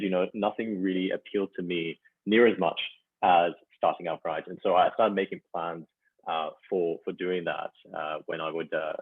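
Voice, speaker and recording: A young man from France talks haltingly through a podcast interview, dotting his answers with fillers. His voice sits very low. It comes through a tinny, phone-like call line that sounds dry and close. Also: breathy edge, no room sound. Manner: halting, full of "you know" fillers. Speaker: startup founder guest.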